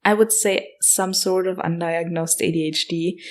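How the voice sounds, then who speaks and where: A woman's voice: on the low side, fairly even intonation, moderate pace. A woman in her twenties with an Austrian German accent hosting a casual solo podcast Q&A, chatty with frequent fillers and self-corrections. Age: 20s. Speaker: podcast host.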